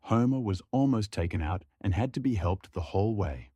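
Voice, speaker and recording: A man's voice: on the low side, expressive, brisk, dark tone, slightly rough, dry recording, highs cut off. A man reading a scripted folk horror story as a narrator.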